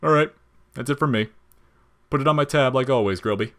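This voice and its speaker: A man's voice: mid-range voice, a little fast, a bit bright, pitched above the performer's own voice, natural voice. A laid-back skeleton wisecracker, performed by a man for an audio story. He talks in casual slang and tosses off jokes. His manner is easygoing and brotherly. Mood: joking, easygoing.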